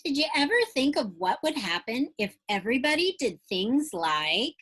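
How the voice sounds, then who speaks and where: A woman's voice: a little high, sing-song, normal speed, fairly bright tone, slight background noise. A woman teacher reading a picture book aloud to young children, stretching out phrases expressively and putting questions straight to her listeners.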